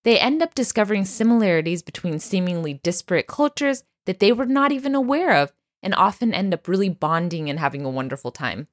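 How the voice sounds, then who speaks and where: A woman's voice: mid-range voice, big pitch swings, brisk, few pauses. A woman hosting a podcast, reading an upbeat introduction and then chatting and laughing easily with her guest. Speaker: podcast host. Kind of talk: scripted intro then casual interview.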